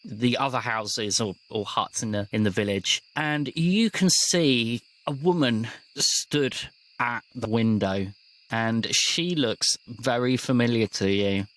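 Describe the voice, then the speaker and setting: Man, game master, mid-pitched, measured descriptive narration, tabletop roleplaying. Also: fairly bright tone, poor audio.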